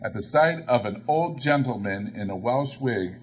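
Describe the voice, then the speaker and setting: A man narrating a literary story as an audiobook reader, in a theatrical, expressive style. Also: mid-range voice, moderate pace, a little hiss or hum. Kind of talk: dramatic literary story reading.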